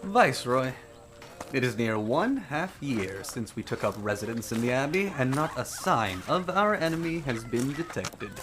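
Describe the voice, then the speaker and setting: An actor playing a haughty, exuberant, self-deluded prince in a theatrical, declamatory audio drama style. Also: male voice, medium pitch, noisy background, natural voice. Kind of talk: full-cast audio drama acting.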